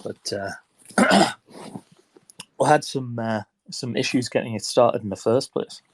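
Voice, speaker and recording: A man with a stuffed-up voice from a cold, hosting a casual online chat in a relaxed, rambling way. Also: medium pitch, measured pace. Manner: relaxed, rambling, filler-heavy. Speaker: host.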